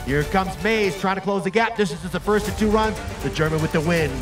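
A man calling ski races play-by-play in quick sports commentary. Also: high voice, music in the background. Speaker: race commentator.

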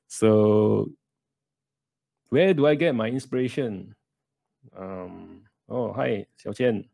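A man's voice: lowish voice, gravelly, mellow, dry recording. A man in his thirties with a Malaysian English accent, hosting a casual live question-and-answer stream, chatty and dropping in local slang. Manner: chatty, sprinkles lah and Malay words. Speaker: livestream host. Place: small room indoors. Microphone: USB studio mic on a stand, close.

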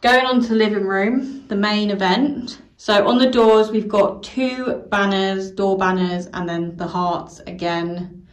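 A young British woman talks slowly through a tour of her decorated home, with gentle apologetic asides about the mess. Her voice comes from a bit away from the handheld phone, and it rings in small echoey rooms. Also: mid-range voice, distant mic. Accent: British English. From United Kingdom. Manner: chatty, apologetic asides, frequent "so" and "um". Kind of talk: casual walkthrough narration. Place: small house, indoors, walking room to room. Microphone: handheld phone camera. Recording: roomy sound, voice away from mic.

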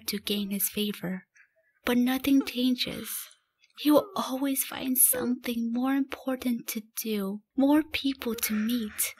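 A woman's voice: on the high side, low-quality recording. A woman reading scripted story narration as the narrator of a radio play.

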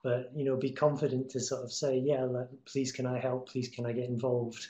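Middle-aged man on a flat-voiced video call. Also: medium pitch, a little fast. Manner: casual, encouraging, many fillers and restarts. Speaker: guest speaker, TV promo editor. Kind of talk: online talk answering students' questions. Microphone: webcam on video call. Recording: echoey room over video call.

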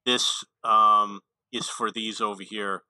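A man's voice: lowish voice, little pitch movement, slow pace, bright, forceful tone, dry recording, poor audio. A man giving casual, unscripted tutorial commentary on a video game in a matter-of-fact way.

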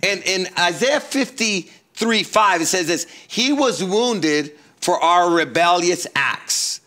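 A man's voice: high voice, expressive, slow pace, sharp tone. A middle-aged man, a pastor, teaching faith in an earnest, emphatic way during a studio conversation. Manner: earnest, emphatic, quotes scripture and everyday sayings. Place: studio set with couches.

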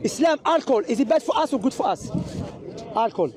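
A man's voice: very high-pitched, expressive, quick pace. A man from Algeria in a heated outdoor street debate, combative, interrupting and repeating his questions. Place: outdoors in a park, among a crowd. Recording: outdoor park recording amid a crowd.

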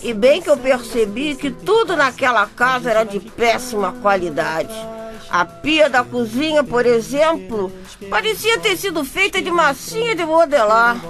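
A woman's voice: a little high, very animated, a little slow, over music. An actress playing an exasperated, gossipy Brazilian bricklayer's wife who chattily narrates her misfortunes in a radio soap. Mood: chatty, confiding. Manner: chatty, addresses listeners directly. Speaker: narrator and character. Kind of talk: radio soap opera acting, chatty storytelling.

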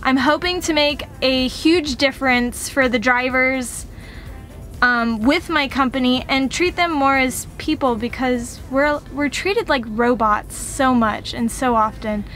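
A woman's voice: sits high, unhurried, a bit bright. A young woman chatting casually to her viewers as a vlogger, inside a truck cab. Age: young adult. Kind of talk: casual vlog chat to viewers. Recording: music behind the voice. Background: music.

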